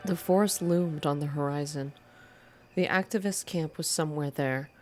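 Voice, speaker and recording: A woman's voice: low-pitched, moderate pace. A woman reading a story game aloud as scripted narration in an ASMR style, with music behind her. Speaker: reader of a visual novel game.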